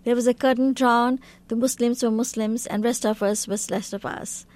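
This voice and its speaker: A woman's voice: fairly high pitch, steady tone, normal speed, a little mellow. A woman from Bangladesh with a Bangladeshi accent, a guest on a talk show, speaking earnestly and at length with loose grammar and many fillers. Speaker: guest, community council vice president. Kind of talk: interview.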